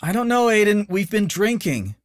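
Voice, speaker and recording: A man voicing a cautious teenage girl who pleads with her reckless boyfriend to slow down. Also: high-pitched, very animated, voice pushed up. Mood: worried. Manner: pleading, raised voice.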